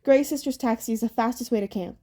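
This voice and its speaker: A woman voicing a brisk, know-it-all girl, matter-of-fact and bossy, in a storybook reading. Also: fairly high pitch, dark tone, natural voice. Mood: reassuring.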